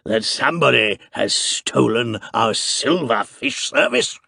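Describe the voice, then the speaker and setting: Guy playing a stiff old colonel, harsh raucous voice, slow and clipped. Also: mid-range voice, lively intonation, gravelly, sharp tone, dry recording, studio quality, heavily acted. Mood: grim.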